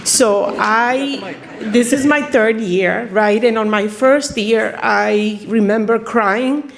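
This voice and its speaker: A woman's voice: fairly high pitch, takes it slowly, gravelly. A woman in her fifties from Guatemala giving an emotional, informal personal speech as a student at a ceremony. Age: 50s. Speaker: graduate student honoree. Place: ceremony room before an audience. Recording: noisy hall with crowd murmur.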